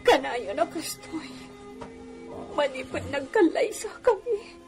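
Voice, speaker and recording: A woman's voice: high voice, big pitch swings, slow, music in the background, heavily acted. A woman playing a long-suffering village wife, lamenting plaintively in a melodramatic Ilocano radio drama.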